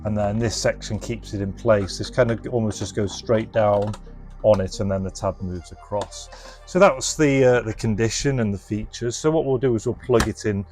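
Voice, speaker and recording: Low-pitched man giving a casual demo. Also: moderate pace, a little mellow, soft background music. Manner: explanatory, informal, thinking aloud as he demonstrates. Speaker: presenter and reseller of restored retro electronics. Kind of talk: casual hands-on product demonstration.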